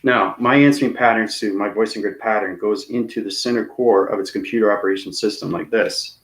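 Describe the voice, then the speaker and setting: Guy rambling on about cosmic stuff, low voice, repeats himself a lot. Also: steady tone, fairly quick. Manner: rambling, repetitive, laughs at himself. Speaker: video host. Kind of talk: rambling cosmic monologue to viewers.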